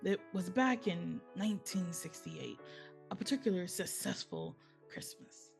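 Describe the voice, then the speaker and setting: A woman's voice: on the low side, measured pace, creaky, faint music behind. A woman playing a chatty elf storyteller in a live table read, talking to the listener in a folksy, conversational way. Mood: chatty, wry. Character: chatty old male elf storyteller, proud of elf life.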